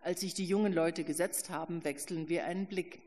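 Ein Mann, der als professioneller Sprecher eine Erzählung in der Ich-Form gemessen und ausdrucksvoll bei einer Lesung vorträgt. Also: male voice, a little high. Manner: measured, expressive first-person narration. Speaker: professional reader. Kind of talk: literary reading. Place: event hall. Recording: reverberant hall.

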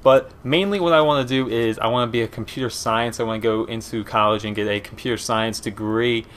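A man's voice: medium pitch, steady tone, brisk, fairly bright tone, slight background noise. A young man in his late teens with a Philadelphia and Eastern Pennsylvania accent, chatting casually through a Q and A over gameplay, rambling and easygoing with many fillers. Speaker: gaming commentator. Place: small room at home, indoors.

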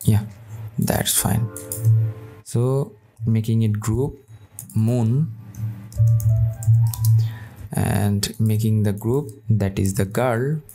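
Man, tutorial host, slow unhurried talk, gravelly low tone, faint music underneath. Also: mellow. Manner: slow, thinking aloud while working, non-native phrasing. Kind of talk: screen-recorded software tutorial.

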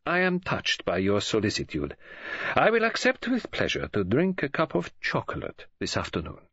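A man voices a fastidious old detective who talks with formal, precise care. His speech is slow and mournful, scattered with French phrases. The voice is husky, and the recording sounds a bit dull. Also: mid-range voice, huge pitch swings, fairly bright tone, no echo, heavily acted. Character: fastidious elderly male foreign detective.

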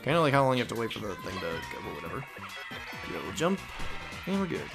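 A man's voice: mid-range voice, very animated, fast talker, vocal fry. A young adult man giving casual, rambling commentary as he plays a video game. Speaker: let's play host. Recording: game music behind voice. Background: video game music.

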